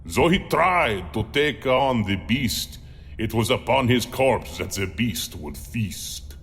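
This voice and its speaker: A man playing a monstrous man-eater, reciting a grim rhyming memoir in a slow, theatrical, deliberate manner with long reverb. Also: medium pitch, lively intonation, fairly bright tone. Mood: grim relish. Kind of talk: theatrical rhymed storytelling.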